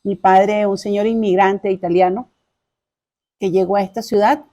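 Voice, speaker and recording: Mellow-voiced woman with a Caracas accent. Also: mid-range voice, steady tone, normal speed, muffled recording. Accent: Caracas Venezuelan. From Caracas, Venezuela. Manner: chatty, courteous, repeats phrases. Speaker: interview guest. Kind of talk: informal life-story telling.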